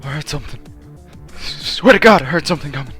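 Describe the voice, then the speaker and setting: A man's voice: fairly high pitch, expressive, quick pace, over music, lightly acted. A man voicing a jumpy, nervous mob henchman in a comic book dub.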